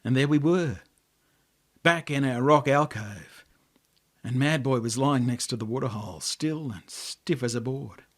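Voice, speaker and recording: A man with an Australian accent reading a story aloud as an expressive narrator. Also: mid-range voice, unhurried. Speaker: author reading own book.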